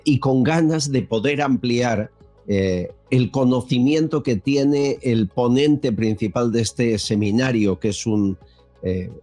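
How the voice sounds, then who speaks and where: A man hosting a podcast interview, asking questions slowly with many hesitations. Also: medium pitch, a little mellow. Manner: slow, hesitant with many fillers. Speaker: podcast host.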